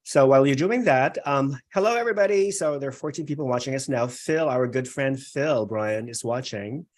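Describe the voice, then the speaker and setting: Host, man, mid-pitched; casual welcoming delivery over a video call. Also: fairly quick. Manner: friendly, welcoming.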